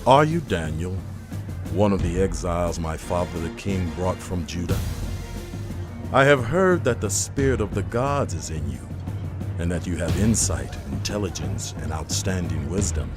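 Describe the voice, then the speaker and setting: A commanding king of ancient Babylon in an audio Bible drama. His voice sits fairly low and he speaks in formal, measured phrases. Music plays clearly behind him. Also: expressive. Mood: regal, inquiring. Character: Babylonian king, commanding, seeking answers from a wise man.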